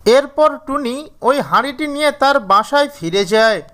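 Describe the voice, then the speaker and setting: A woman's voice: high-pitched, expressive, measured pace, a bit bright. Woman narrating a children's cartoon story.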